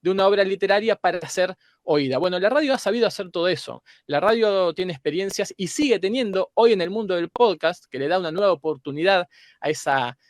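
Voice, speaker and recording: A man's voice: high voice, lively intonation, fairly quick, no room sound, studio quality. A man with an Argentine accent giving a thoughtful, teacherly explanation as a panel guest, often searching for the next word. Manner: didactic, hesitant word-searching. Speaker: guest academic.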